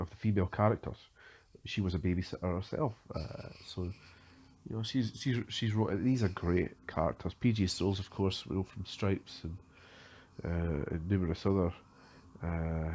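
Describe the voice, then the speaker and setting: A man's voice: deep voice, dark tone. A man giving unscripted film commentary as a podcast host, hesitant, with many fillers and restarts. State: has a cold.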